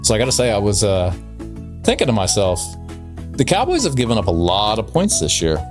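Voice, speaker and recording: A man with a fairly low voice and very animated intonation, chatting as a podcast co-host. His delivery is conversational and joking, with faint music in the recording. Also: fairly quick. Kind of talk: casual comedic banter.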